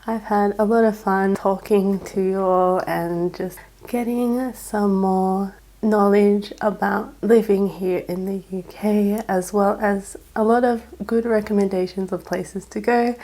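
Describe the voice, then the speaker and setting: Raspy-voiced Australian woman vlogger. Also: mid-range voice, fairly even intonation, slow pace, dark tone, poor audio. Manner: chatty, practical step-by-step tips. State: raspy voice from a cold. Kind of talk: casual advice vlog.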